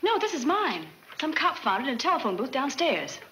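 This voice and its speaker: A woman's voice: high voice, big pitch swings, brisk, very noisy. An actress playing a spirited, wry woman in a 1940s movie serial. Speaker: film actress. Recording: echoey old film soundtrack, loud music.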